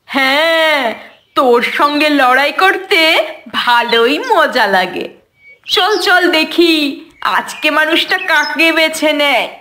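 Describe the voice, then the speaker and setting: A bragging, competitive cup of coffee from a comic cartoon , acted by a woman. The voice is bright and very slow, landing each teasing boast with emphasis. There is faint noise in the recording. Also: sits high, animated, heavily acted. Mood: playful, confident. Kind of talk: cartoon audio comedy dialogue.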